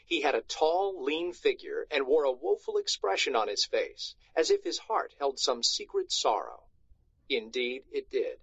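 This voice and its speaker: A man reading a storybook aloud to schoolchildren in an expressive, dramatic narrating style, on a slightly muffled recording. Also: a little high, husky, dry recording.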